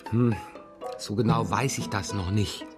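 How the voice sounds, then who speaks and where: A man's voice: fairly low pitch, fairly even intonation, unhurried, over music, natural voice. An actor playing a whimsical, sleepy middle-aged father who banters hesitantly in a children's radio play. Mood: wry, musing.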